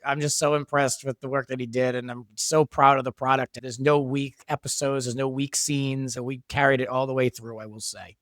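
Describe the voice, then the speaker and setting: A man's voice: mid-range voice, level tone, a little fast, few pauses, a bit bright, no room sound. Man in a dry-sounding podcast interview. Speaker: show creator and podcast guest. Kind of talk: casual interview talk.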